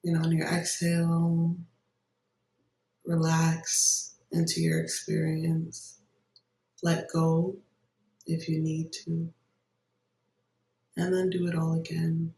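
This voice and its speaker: A meditation guide giving gentle, unhurried instructions with long pauses between phrases. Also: mid-range voice, completely flat delivery. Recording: roomy echo, distant microphone.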